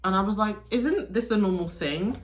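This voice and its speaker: A woman's voice: mid-range voice, moderate pace. A young woman from the UK chatting casually through a get-ready-with-me Q&A, full of "like" and restarts. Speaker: vlogger, teacher in Korea.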